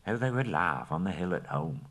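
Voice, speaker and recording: A man reading aloud and voicing a timid, fussy male hobbit with a high, fretful voice that grows breathless when he is scared. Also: very animated, moderate pace, fairly bright tone, heavily acted. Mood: uneasy.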